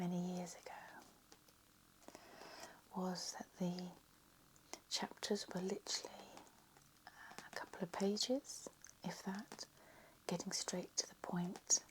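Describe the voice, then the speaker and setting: Woman for a whispered ASMR lecture, low, flat, a little croaky, very slow. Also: low-quality recording. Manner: slow, soft, unhurried whisper. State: slightly croaky voice.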